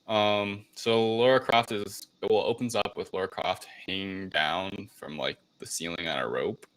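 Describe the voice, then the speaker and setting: A man's voice: sits low, normal speed, fairly bright tone, rough recording. A man co-hosting a casual movie review chat, rambling informally through a plot recap and sometimes swearing. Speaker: podcast co-host.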